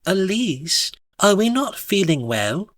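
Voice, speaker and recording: A man's voice: high voice, very animated, slow, no room sound, studio quality, voice pushed up, exaggerated voice. A man voicing a cheery, dreamy, genteel woman in a chirpy, gushing, sing-song manner for a story. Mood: sweetly fussy.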